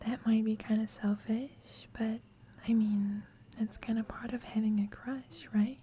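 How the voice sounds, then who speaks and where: A soft, breathy whisper from a shy young female student played by a woman, lovestruck and insecure. She speaks slowly and hesitantly, with a mellow, tender tone, as in an intimate ASMR roleplay confession to a roommate. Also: a little high, steady tone, a little hiss or hum, natural voice. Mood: shy, uncertain. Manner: hesitant, slow, confiding.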